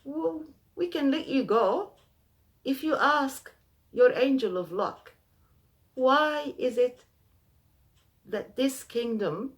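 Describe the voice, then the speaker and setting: Woman doing a king, calm and in charge, slowish. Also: very high voice, voice pushed up, heavily acted. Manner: measured, commanding. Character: troubled middle-aged male king, measured, commanding.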